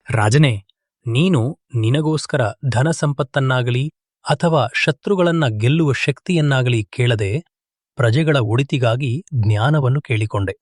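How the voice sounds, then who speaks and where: A man's voice: mid-range voice, a little slow, dry recording, clean studio sound, lightly acted. A man voicing a benevolent god who speaks solemnly and graciously. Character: benevolent male god in a dream.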